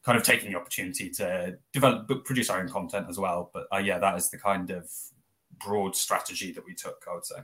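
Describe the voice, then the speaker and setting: Fast-talking low-voiced man. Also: fairly even intonation, a bit bright. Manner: fast, rambling with many fillers. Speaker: interview guest, press office founder. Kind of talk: casual spoken interview.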